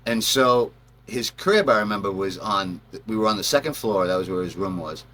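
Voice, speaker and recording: A man's voice: lowish voice, expressive, normal speed, fairly bright tone, a little hiss or hum. A man telling personal stories in a casual, rambling way, acting out bits as he goes. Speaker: storyteller, channel host.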